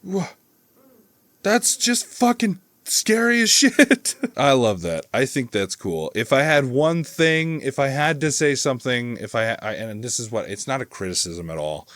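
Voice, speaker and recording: A man's voice: medium pitch, very animated, a little slow, a bit bright, dry recording. A man giving casual, rambling and enthusiastic reaction commentary as a video host, swearing freely. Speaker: video host reviewing horror channels.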